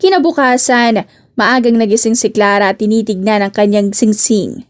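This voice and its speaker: A woman's voice: medium pitch, moderate pace, dry recording, clean studio sound. A woman reading scripted narration for a romance audiobook.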